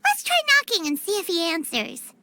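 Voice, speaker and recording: A squeaky, bubbly fairy sidekick. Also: female voice, huge pitch swings, heavily acted. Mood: curious. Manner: bubbly, exclamatory, quick to react. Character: tiny floating female fairy companion, excitable. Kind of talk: game cutscene voice acting. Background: game score music.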